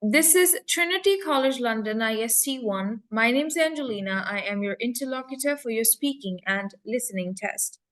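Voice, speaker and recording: A woman acting as examiner, asking short, clear questions in a mock speaking test. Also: on the high side, lively intonation, moderate pace, hardly any pauses, a bit bright. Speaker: English coach and examiner.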